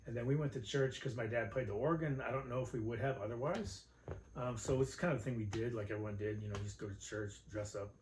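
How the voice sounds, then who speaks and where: An easygoing American man co-hosting a relaxed podcast, laughing easily and letting sentences trail off. The recording is roomy, with his voice bouncing off the walls over a faint background hiss. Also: mid-range voice, fast. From Los Angeles area, United States. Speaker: podcast co-host. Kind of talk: casual chat. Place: home room.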